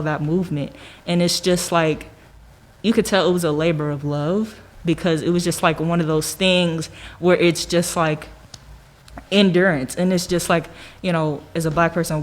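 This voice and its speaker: A young woman talking casually and jokingly as a podcast panelist, sprinkling her speech with "like" and "you know". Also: on the low side. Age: young adult. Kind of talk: casual group discussion.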